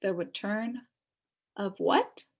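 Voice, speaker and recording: A woman's voice: medium pitch, expressive, slow pace, creaky, pitched below the performer's own voice, full character voice. A woman voicing an earnest, sympathetic young boy in a storybook. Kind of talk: storybook character reading.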